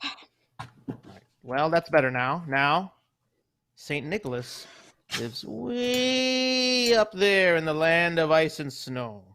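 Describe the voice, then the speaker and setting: A man's voice: a little high, big pitch swings, slow, pauses often, pushed and bright, slight background noise, full character voice. A man voicing a fussy, motherly mouse mother in a live radio play reading, bossy and coaxing. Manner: bossy and coaxing, old-fashioned.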